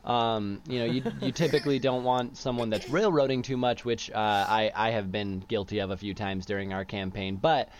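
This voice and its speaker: A young man in casual chat as a podcast co-host who runs tabletop games, relaxed and rambling, with plenty of fillers and restarts. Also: lowish voice, normal speed, few pauses, clear, resonant tone. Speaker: student podcast co-host, game master. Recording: dull lo-fi audio.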